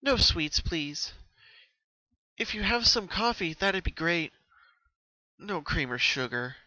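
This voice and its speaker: A man's voice: high voice, very animated, slow pace, bright, forceful tone, no room sound, voice pushed up, full character voice, poor audio. A man voicing a distraught, exhausted young female cartoon pony in a quivering, pleading, dramatic storybook reading. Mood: weary, distressed.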